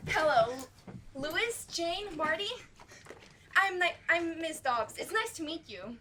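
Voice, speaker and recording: A woman's voice: very high voice, animated, slow, pushed and bright, slight background noise, full character voice. A girl playing a pompous casting agent. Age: teens. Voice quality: pompous, salesy. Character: smooth corporate female casting agent, pompous. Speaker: student actor. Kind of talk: school stage play acting. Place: school stage, hall.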